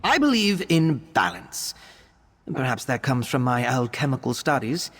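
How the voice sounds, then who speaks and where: A man playing an earnest, bookish young male vampire alchemist in a video game, speaking in an articulate, expressive way. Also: medium pitch, unhurried, in a large hall. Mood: earnest, reflective. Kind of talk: video game character voice acting.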